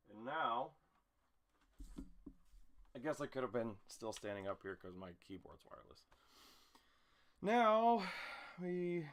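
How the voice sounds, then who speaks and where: A man chatting casually to camera in an easygoing, self-deprecating, rambling way as an amateur voice actor. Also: mid-range voice.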